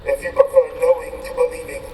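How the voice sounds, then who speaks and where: An actor playing a calm male true believer in a video game, speaking in measured, rhetorical phrases as if preaching. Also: very high voice, recorded from a distance, slight background noise. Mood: calm conviction. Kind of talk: video game cutscene acting.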